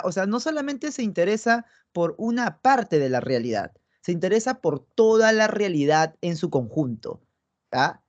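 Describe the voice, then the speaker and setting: A young man with a high voice lectures on philosophy over a video call. He talks chattily and keeps checking in with his students. The computer-mic audio is dull and muffled. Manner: chatty, tags phrases with "¿no?" and "¿ya?"; talks directly to students, affectionate asides. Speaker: philosophy teacher. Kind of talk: online pre-university lecture.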